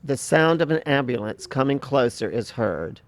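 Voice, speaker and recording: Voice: mid-range voice, lively intonation, moderate pace, no echo. Plain, dry-sounding reader of stage directions. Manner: plain, factual. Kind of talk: scripted narration.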